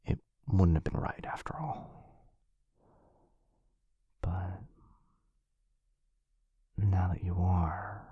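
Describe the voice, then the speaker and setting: A man playing a tender, devoted vampire lover in an intimate romantic ASMR roleplay, speaking softly and haltingly with a breathy, often whispered voice. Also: deep voice, level tone, no room sound, dull audio, exaggerated voice. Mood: tender confession. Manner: soft, halting, earnest delivery.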